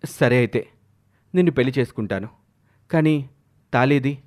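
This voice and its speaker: A man's voice: medium pitch, moderate pace, a little mellow, lightly acted. A man voicing an anxious, devoted husband in a storybook tale, pleading earnestly.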